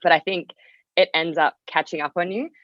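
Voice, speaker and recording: Low-pitched young woman chatting casually. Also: steady tone, fairly quick, no echo, lo-fi sound. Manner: informal, hedging with frequent "like" and "kind of". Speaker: podcast guest, music artist. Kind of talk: casual chat.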